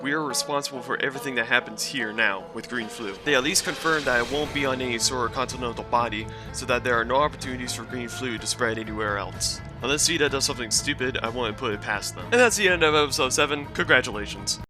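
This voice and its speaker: A man's voice: mid-range voice, fast talker, hardly any pauses, music in the background. A man hosting a casual commentary recap, talking in a rambling, hesitant and self-deprecating way. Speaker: video host and reviewer.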